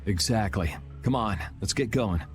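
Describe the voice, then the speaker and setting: A man's voice: on the low side, a little slow, lightly acted. An actor playing a cool, wry young swordsman with a casual, teasing way in a video game. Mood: cool, serious. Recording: music under the voice.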